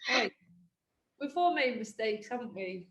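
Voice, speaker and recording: A woman's voice: medium pitch, lively intonation, normal speed. A British woman hosting a casual fitness podcast, chatty and joking in a self-mocking way. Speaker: podcast host, personal trainer. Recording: roomy echo.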